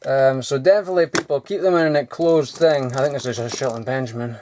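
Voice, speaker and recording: Bloke poking round his messy loft, mid-pitched, rambly, says um a lot and swears. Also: fast, background noise. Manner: swears often, filler-heavy and digressive. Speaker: vlogger. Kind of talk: casual rambling show-and-tell. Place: cluttered loft.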